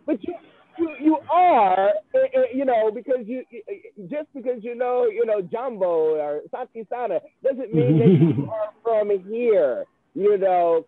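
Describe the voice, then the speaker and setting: A man hosting a podcast, interviewing casually with rambling asides. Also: high-pitched. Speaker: podcast host. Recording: thin phone-like audio, dry.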